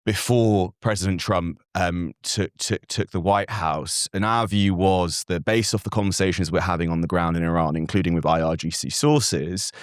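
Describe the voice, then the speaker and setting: A young man, a native Farsi speaker raised in Britain, answering as a guest analyst in an earnest, measured way with frequent fillers and restarts. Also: sits low, no room sound, clean studio sound. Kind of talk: interview answers.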